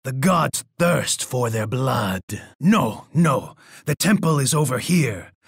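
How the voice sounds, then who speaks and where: An actor playing a menacing, boastful, bloodthirsty Aztec emperor in a video game, with a gravelly, husky voice and an imperious, taunting manner. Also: male voice, mid-range voice, lively intonation, slow pace, dry recording, clean studio sound, full character voice. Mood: taunting menace. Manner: imperious, taunting, refers to himself in third person. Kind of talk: theatrical video game character voice lines.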